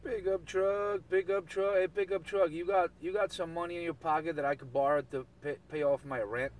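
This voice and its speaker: High-pitched man chattering on CB radio. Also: steady tone, brisk, background noise. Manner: repetitive chanting of catchphrases, slangy. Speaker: CB radio operator. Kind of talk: casual CB radio banter. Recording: distant CB radio transmission, outdoor vehicle sound.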